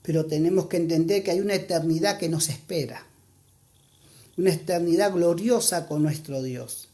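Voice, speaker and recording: A preacher with an Argentine accent, giving an earnest church sermon and reading scripture aloud, slowing down to stress key points. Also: medium pitch, mellow. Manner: earnest, exhorting, slows down for emphasis.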